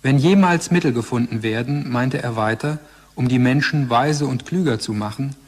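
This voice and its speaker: A man's voice: medium pitch, moderate pace. A man reading scripted narration in a formal style. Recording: long reverberant hall.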